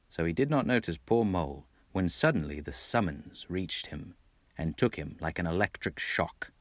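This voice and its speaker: A man from Texas reading a storybook in a dramatic, measured way. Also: sits low, dry recording. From Texas, United States. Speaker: amateur audiobook narrator. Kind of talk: expressive scripted storybook narration.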